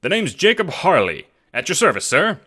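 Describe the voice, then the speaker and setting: A man's voice: a little high, very animated, moderate pace, bright, forceful tone, roomy sound, in a large hall, pitched above the performer's own voice. A man voicing a pompous old adventurer in an audio drama, blunt and condescending, full of old-fashioned slang. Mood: jovial.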